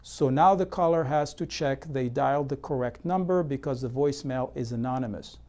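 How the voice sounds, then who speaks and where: A man delivering scripted instructional narration as an educational presenter, in a measured, methodical, explanatory way. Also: medium pitch, warm tone. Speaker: presenter of real estate consumer education.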